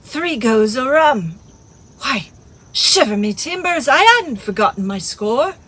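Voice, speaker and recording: A woman reading an audiobook, voicing a crafty, blustering one-legged male pirate cook with loud sea-dog talk. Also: mid-range voice, animated, a little slow, husky. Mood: boisterous.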